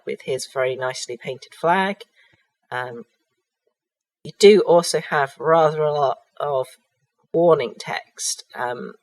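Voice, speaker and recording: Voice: mid-range voice, low-quality recording. A miniatures hobbyist who has a cold. They narrate a product review hesitantly, with frequent ums and restarts.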